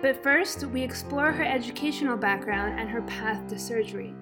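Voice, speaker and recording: A woman's voice: a little high, normal speed. Woman reading a podcast intro over music. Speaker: podcast host. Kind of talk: scripted narration.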